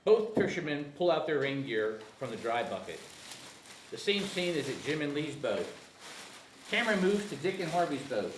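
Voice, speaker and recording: Male screenplay narrator, lively, slightly high voice, informal table read with room echo. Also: normal speed. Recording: echoey room, faint noise.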